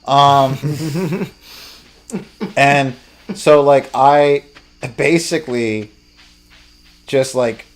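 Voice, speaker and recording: A young man telling a story casually while gaming, in a halting, rambling, slangy way. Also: medium pitch. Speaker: let's play host. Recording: game music playing behind. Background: video game music.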